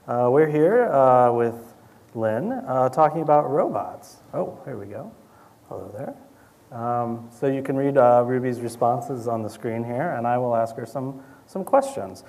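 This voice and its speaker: A man's voice: medium pitch, animated, a little slow, darkish tone. A man presenting a live chatbot demonstration to an audience, talking conversationally and hesitantly as he thinks aloud.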